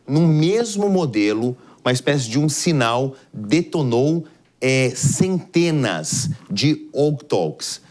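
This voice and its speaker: A man's voice: mid-range voice, big pitch swings, takes it slowly, darkish tone. A man with a Brazilian accent giving live news analysis in a studio, explaining things step by step.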